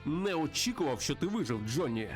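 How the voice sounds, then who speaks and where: A man plays a sneering crow outlaw in a Wild West game. The voice is raised well above his usual pitch, taunting and full of mocking menace, with music behind it. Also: very animated, a little fast.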